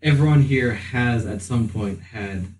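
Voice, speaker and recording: A man working as game master, narrating a tabletop game in a halting, improvised way. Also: mid-range voice, darkish tone, far from the mic. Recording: echoey room, off mic, background noise.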